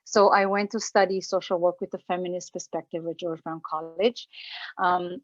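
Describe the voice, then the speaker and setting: A woman from Romania in her forties tells her story as a guest speaker, earnest and full of fillers and restarts. Her voice sits on the low side. The recording is dull and dry, with little room sound. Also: steady tone, normal speed, no echo. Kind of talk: personal talk at an online event.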